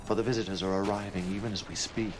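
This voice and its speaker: Clear ambient noise sits behind an actor playing a messianic male cult leader in a video game. He is calm and calculating, with a slightly low voice and grandiose, measured phrasing. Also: lightly acted. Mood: prophetic awe. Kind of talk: dramatic video game cutscene acting.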